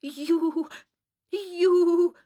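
A woman's voice: on the high side, expressive, slow, husky, no room sound, studio quality, pitched above the performer's own voice, heavily acted. A woman playing a theatrical, self-pitying young woman with grand artistic airs in a dramatised literary story reading. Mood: outraged, swooning. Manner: theatrical, swooning, emphatic delivery.

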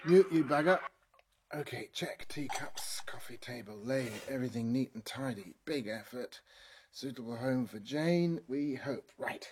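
A man playing a fussy, quick-tempered inventor who fiddles with his robot and barks orders at it. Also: medium pitch, animated, darkish tone, slightly rough.

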